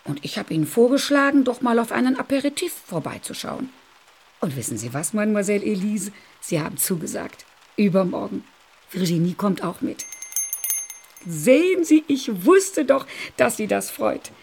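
An actress playing a kind, gossipy caretaker who chatters and fusses in a radio play. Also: female voice, mid-range voice, very animated, moderate pace, a little mellow, breathy edge. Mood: chatty. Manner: chatty, fussing, cheerfully talkative.

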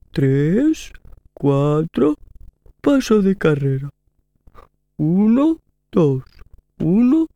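A plodding female tortoise acted by a woman from a children's storybook, weary but determined. The voice is mellow and slow, pushing itself along with effort, in a dry, close recording. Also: medium pitch, dry recording, full character voice. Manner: slow, effortful, self-encouraging. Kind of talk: children's audio story acting.

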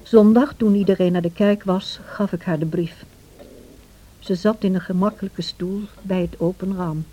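An actress playing an anxious, protective, loyal servant woman in a Dutch radio drama, speaking in an earnest, measured way. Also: on the low side, steady tone, mellow, natural voice. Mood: confiding. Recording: dull old radio play recording.

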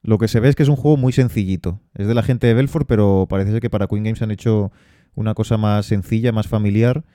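A man with a Spanish accent from Spain talks fast in a low, mellow voice, chatting casually about board game news on a podcast. His delivery is relaxed and rambling, with fillers, and the sound is smooth and dry on a close mic. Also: no room sound. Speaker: podcast co-host.

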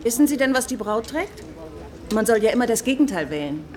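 An actress playing a polite boutique saleswoman who advises and flatters, in a radio drama. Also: on the high side, fairly quick. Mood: flattering, helpful. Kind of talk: German radio drama acting. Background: music.

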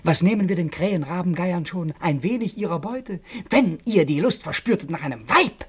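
An actor playing a cunning, flattering and mocking manservant in a German radio play, insinuating and theatrical. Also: male voice, high voice, normal speed. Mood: scheming.

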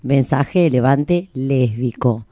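An Argentine woman with a notably low voice talks casually on a radio panel. The sound is close and dry. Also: slow, warm tone, dry recording. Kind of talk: casual chat.

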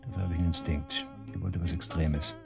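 Sneering, very deep-voiced murder suspect. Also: male voice, steady tone, fairly quick, gravelly, over music. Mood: cool, boastful. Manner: taunting, cynical, coarse colloquial talk. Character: cocky, sneering male murder suspect, shady club worker. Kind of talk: German radio drama acting, interrogation dialogue.

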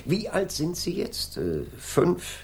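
An actor playing a probing, persistent middle-aged police inspector in a German radio drama. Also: male voice, high-pitched, wildly swooping pitch, takes it slowly, creaky, a little mellow, faint music behind, heavily acted. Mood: chatty, probing. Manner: inquisitive, insistent questioning.

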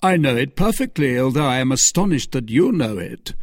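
Man doing a proud duke, commanding, husky voice, dry sound. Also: medium pitch, big pitch swings, brisk, no echo, pitched above the performer's own voice. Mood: haughty. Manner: haughty, commanding. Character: haughty noble duke, proud and dismissive.

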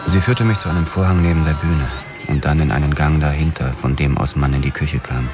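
A man's voice: very deep voice, a little fast. A man playing a weary, terse police detective narrating a noir radio drama. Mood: terse, controlled.

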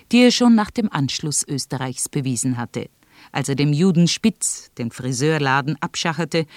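A woman reading a scripted 1980s radio drama announcement in a formal, literary, deliberate manner. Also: low voice, a little mellow, no echo, studio quality.

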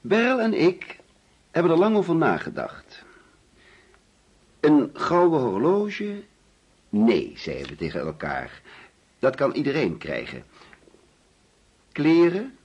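An actor playing an overbearing, jovial middle-aged father in a Dutch radio play, theatrical and teasing, with deliberate pauses. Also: fairly high pitch, a little mellow, slightly rough, full character voice. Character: overbearing, jovial father hosting an uneasy birthday party.

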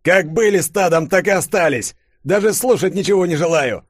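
A man's voice: sits high, normal speed, slightly rough, no echo, clean studio sound, pitched above the performer's own voice. A man voicing a brutal soldier barking threats at villagers. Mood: threatening. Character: brutal male Red Army soldier, contemptuous.

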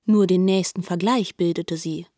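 A woman narrating a literary radio play calmly and descriptively. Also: fairly low pitch, moderate pace, no echo, studio quality.

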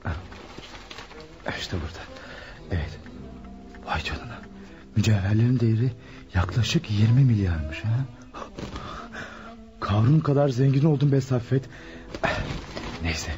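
A man's voice: fairly low pitch, lively intonation, slow pace, long pauses, music in the background. An actor playing a nervous ordinary man caught up in a crime in a radio drama, hesitant and flustered. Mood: muttering, excited. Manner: muttering to himself, hesitant, flustered. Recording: dull lo-fi radio recording.

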